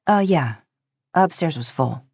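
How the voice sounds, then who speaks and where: A woman voicing an awkward, flustered young male college student in a story, with halting delivery. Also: sits low, animated, gravelly, a little mellow, slightly rough, no echo, clean studio sound, full character voice. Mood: nervous.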